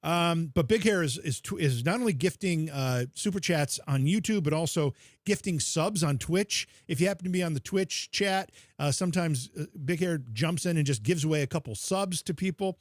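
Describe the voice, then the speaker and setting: A man's voice: fairly high pitch, moderate pace, hardly any pauses, no room sound. A man with a somewhat raised voice chats easily as a podcast co-host, ribbing his partner and rattling off supporter names. The sound is close and dry, with no room around it. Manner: chatty, teasing, reads out supporter thank-yous. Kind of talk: casual livestream chat.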